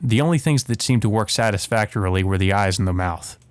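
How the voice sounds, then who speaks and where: A man's voice: lowish voice, expressive, quick pace, no room sound. A man hosting a let's play, reading visual novel narration aloud and adding casual asides, with game music behind him.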